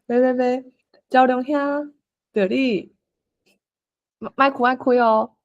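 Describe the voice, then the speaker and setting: A woman's voice: high-pitched, fairly even intonation, takes it slowly, lo-fi sound. A woman with a Taiwanese accent hosting an online group read-aloud, prompting and coaching the participants. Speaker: host of an online play-reading session.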